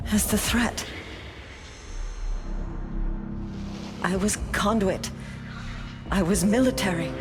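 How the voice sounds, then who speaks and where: An actress playing a steely female military commander, narrating her past gravely and deliberately in a game cutscene. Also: mid-range voice, close to an everyday voice. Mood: cold, resentful. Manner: measured, grave, deliberate pauses. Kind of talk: dramatic first-person game cutscene narration. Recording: music playing under the voice.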